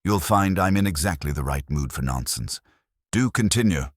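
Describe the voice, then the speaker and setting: A man voicing a brisk, imperious Victorian detective from a mystery story, sardonic and clipped. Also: low voice, animated, breathy edge, dry recording, clean studio sound. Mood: commanding.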